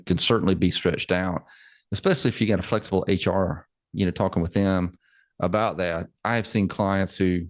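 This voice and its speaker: A man's voice: deep voice, darkish tone, no echo. A man in his forties, a financial planner chatting casually on a podcast, repeating words and laughing easily. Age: 40s.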